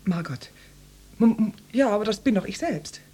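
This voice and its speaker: An actress playing a flustered, flirtatious housewife in her mid-thirties in a radio play, hesitant and stammering, with breathy asides. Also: medium pitch, animated, normal speed, warm tone. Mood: nervous, surprised. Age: 30s.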